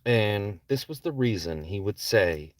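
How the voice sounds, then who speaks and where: A man's voice: fairly low pitch, lively intonation, a little slow, no echo. A man reading his own novel aloud as a scripted audiobook narration. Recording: distant microphone.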